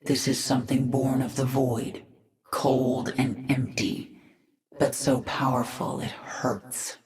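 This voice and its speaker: A woman's voice: rumbling low, level tone, takes it slowly, darkish tone. A woman playing a grim, vengeful queen of an alien swarm in a video game cutscene, terse and intense. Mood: brooding, uneasy. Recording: roomy echo with game music behind.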